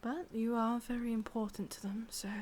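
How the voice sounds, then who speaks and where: A woman with an English accent playing an ancient, lovelorn witch in an ASMR roleplay, speaking intimately in soft, breathy whispers with musing pauses. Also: a little high, flat delivery, slight background noise, natural voice. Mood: musing, guarded.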